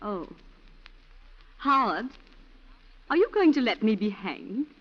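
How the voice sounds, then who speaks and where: Dramatic pleading wife, vintage radio. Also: female voice, mid-range voice, big pitch swings, slow. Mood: weary, subdued. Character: accused wife, weary, pleading and manipulative. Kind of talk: 1940s radio drama acting. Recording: muffled 1940s radio broadcast recording.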